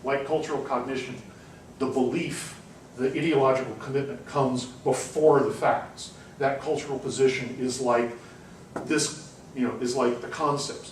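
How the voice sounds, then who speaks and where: A man's voice: medium pitch, a little hiss or hum. A man giving a university lecture, talking in a discursive way with frequent ums and restarts. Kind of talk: classroom lecture. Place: classroom. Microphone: far room recording. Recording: echoey classroom from far off.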